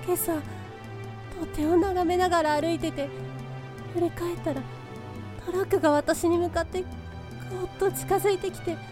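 An actress playing a spirited, caring and bossy college-age ghost girl in a Japanese voice drama, with an expressive, emphatic delivery. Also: very high-pitched. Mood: shaken, distressed. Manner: emphatic, expressive, pushy yet tender. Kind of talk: Japanese audio drama acting.

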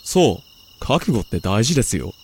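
An actor playing a calm, smug, philosophizing villain in a Japanese voice drama, polite and theatrical. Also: male voice, lowish voice, moderate pace, gravelly, background noise. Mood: smug, lecturing. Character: calm, smug, philosophizing male villain who experiments on life. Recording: music behind the voice.

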